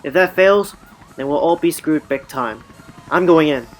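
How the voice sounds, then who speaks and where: A resolute police commander played by an amateur actor in an audio drama, with music under the voice. The pitch is middling and the delivery blunt and decisive, that of a superior giving orders. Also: unhurried, lightly acted, poor audio. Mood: determined.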